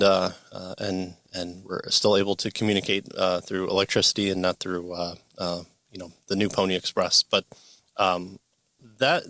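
A man in his thirties talks through a book in a low, gravelly voice, pausing often on fillers and restarting his phrases. He speaks into a boom-arm studio mic in a home library, and the sound is close and dry. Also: fairly bright tone, no room sound. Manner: rambling, many fillers and restarts. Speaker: podcast host. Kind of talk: casual book discussion.

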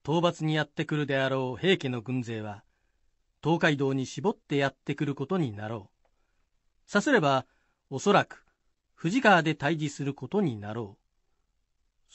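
A man's voice: mid-range voice, lively intonation, a little slow, long pauses, fairly bright tone, no echo. A man narrating a historical tale from a script as storyteller.

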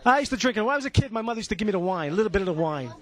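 A man playing an excitable, chatty Italian-American stadium peanut vendor who rambles and repeats himself in broken English. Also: high voice, racing. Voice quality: broken English with stray articles. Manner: rambling, repetitive catchphrases. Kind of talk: improvised comic character patter.

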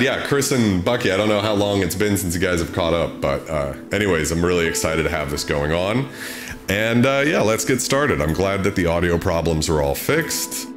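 A man in his thirties chatting casually as a livestream host, close on the mic. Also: low voice. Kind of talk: casual chat. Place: small home studio room. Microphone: close desk microphone, headphones on. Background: music.

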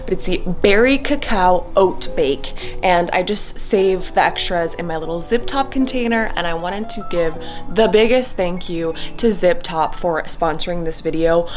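A young woman chatting to her camera in a home kitchen, gushing and enthusiastic about her food. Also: fairly low pitch. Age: young adult. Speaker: vlogger. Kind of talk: casual vlog chat to camera. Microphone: handheld camera held close.

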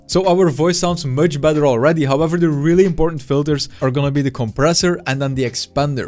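A young man hosting a software tutorial, talking into a close boom microphone in a small home streaming room, chatty and upbeat, with casual fillers. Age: young adult. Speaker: tech tutorial host. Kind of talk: screen-recorded software tutorial. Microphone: studio mic on boom arm, close.

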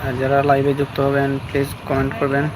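A man's voice: medium pitch, completely flat delivery, quick pace. A man hosting a casual live vlog outdoors, politely and repetitively addressing his viewers. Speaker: live-stream host. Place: outdoors in a public park. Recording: very noisy outdoor recording with vehicle sounds.

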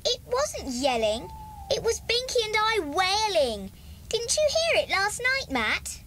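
A woman's voice: extremely high pitch, wildly swooping pitch, takes it slowly, a bit bright, exaggerated voice. A woman playing a cheeky, mischievous, wheedling little girl in a children's audio drama.

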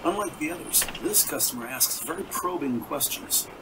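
A man's voice: a little high, normal speed, background noise. A man reading scripted narration for a detective audio drama. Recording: music under the voice. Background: dramatic score music.

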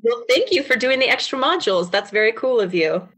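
A woman's voice: a little high, lively intonation, brisk, a bit bright, slightly rough. A middle-aged American woman speaking as a researcher in an interview, explaining things over a video call. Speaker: academic researcher, interview guest.

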